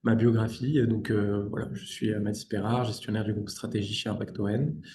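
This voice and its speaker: A man's voice: fairly low pitch, level tone, fairly quick, mellow. A young man with a southwestern French accent leads an online training, inviting participants to discuss. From southwest France. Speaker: trainer, sales and strategy expert. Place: indoors, on a video call. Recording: roomy, echoing sound.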